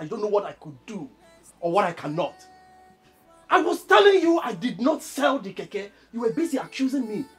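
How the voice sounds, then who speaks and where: An actress with a Nigerian accent playing a wronged, hot-tempered woman in a screen drama, loud and confrontational. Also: medium pitch, close to an everyday voice.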